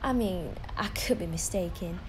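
A woman's voice: lowish voice, normal speed, slight background noise, natural voice. A woman playing a polite, eager young reporter with a genteel Southern US accent in an audio play. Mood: earnest. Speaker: actor.

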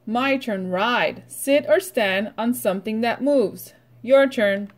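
Slow, higher-pitched woman teaching young children. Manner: instructional, prompting with pauses for repetition. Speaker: preschool teacher. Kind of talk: read-aloud vocabulary lesson for young children.

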